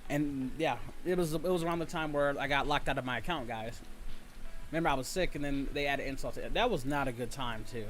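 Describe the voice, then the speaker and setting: A man's voice: mid-range voice, a little fast, a bit bright. Casual male streamer with faint music behind. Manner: informal, frequently swearing. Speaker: livestream host. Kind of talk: casual livestream chat.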